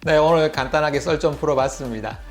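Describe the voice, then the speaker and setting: A man's voice: fairly high pitch, quick pace, faint music behind. Chatty Korean man with a Busan accent. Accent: Gyeongsang (Busan) Korean. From Busan, South Korea. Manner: chatty, laughs often, fills with "이제". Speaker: YouTube vlogger. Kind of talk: casual storytelling to camera.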